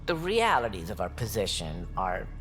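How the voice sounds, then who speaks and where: Game bureaucrat: cautious colony director, cold and officious, with wide intonation. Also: male voice, lowish voice, huge pitch swings, normal speed, a bit bright, faint music behind. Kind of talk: video game cutscene acting. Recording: faint background ambience.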